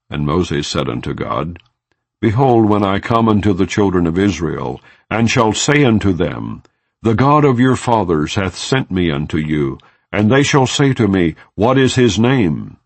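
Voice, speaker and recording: A man with a low, deep voice reads scripture as an audiobook narrator. His delivery is solemn and measured, with wide rises and falls in pitch. The sound is dry, with no room echo. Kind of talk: non-dramatized scripture reading, scripted narration.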